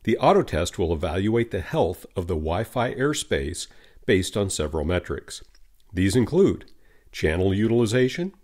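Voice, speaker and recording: A man narrating a scripted software tutorial in a measured, instructional manner. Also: on the low side.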